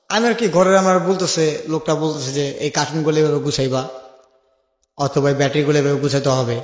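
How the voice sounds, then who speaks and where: A young Bangladeshi man telling his life story as an interview guest, colloquially and with remembered dialogue acted out. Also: on the high side. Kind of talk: personal storytelling in a radio-style interview. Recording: echoey with long reverb.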